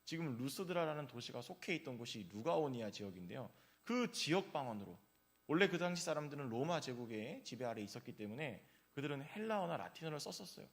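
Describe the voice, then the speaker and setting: A man's voice: medium pitch, sing-song, fast. A male pastor giving an early-morning sermon in a church, telling personal stories in a conversational way with frequent filler sounds. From South Korea, grew up in Gyeongsang region.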